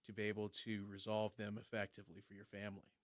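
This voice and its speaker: Low-voiced young male talk show host. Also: monotone, fast talker, dry recording, clean studio sound. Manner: affirming, asks follow-up questions. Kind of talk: casual talk show conversation.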